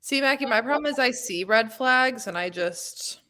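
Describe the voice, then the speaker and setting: A woman's voice: on the high side, animated, normal speed, pushed and bright. A woman chatting informally as a panelist on a book club live show.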